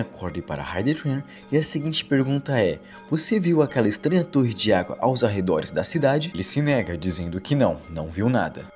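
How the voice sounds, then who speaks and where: A Brazilian man presents explanatory video commentary in a conversational, rambling style. His mid-pitched voice swings expressively, with soft music behind it. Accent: Brazilian Portuguese. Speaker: video narrator and host.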